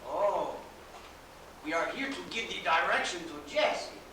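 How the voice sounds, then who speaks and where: A woman's voice: fairly low pitch, lively intonation, measured pace, fairly bright tone. In a distant, heavily echoing, noisy recording, a woman plays a naive and curious comic deity with a foreign accent. The delivery swings up and down through questions and exclamations. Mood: earnest. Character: comically naive, inquisitive character called God. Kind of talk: theatrical comic dialogue. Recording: very echoey stage from far off, noisy.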